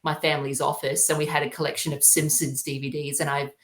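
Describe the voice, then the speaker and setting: A man's voice: fairly high pitch, flat delivery, fast talker, a bit bright. A young Australian man chatting casually as an interview guest, hesitant and earnest in his delivery. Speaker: podcast guest, YouTuber. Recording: roomy, echoing sound.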